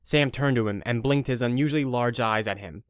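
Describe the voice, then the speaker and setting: A man's voice: medium pitch, a little fast, smooth, no room sound, studio quality. A man reading scripted story narration as the narrator of an audio drama.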